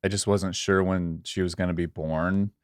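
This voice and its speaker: A man's voice: deep voice, steady tone, moderate pace, no echo, studio quality. A man who produces a podcast chats casually, in an easygoing way with lots of fillers. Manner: easygoing, filler-heavy talk. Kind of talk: casual chat.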